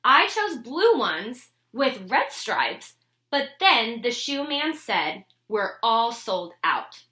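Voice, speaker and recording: A woman's voice: a little high, sing-song, slow, bright, forceful tone. A woman reading a children's picture book aloud expressively, with dramatic, emphatic phrasing. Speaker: storybook reader. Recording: roomy, echoing room sound.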